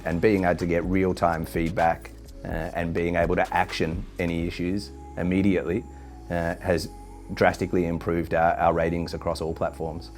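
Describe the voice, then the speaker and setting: A man giving a measured corporate testimonial in business language, like an executive being interviewed. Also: sits low, a little mellow. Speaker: hostel chain executive. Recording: background music under speech.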